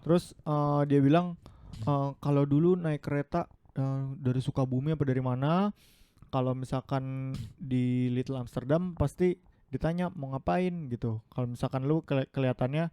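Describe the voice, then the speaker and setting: A man's voice: mid-range voice, steady tone, normal speed, warm tone, smooth, no room sound. A man co-hosting a casual podcast chat, telling a story at length and acting out the lines of the people in it. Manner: storytelling, acts out quoted dialogue. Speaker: podcast co-host.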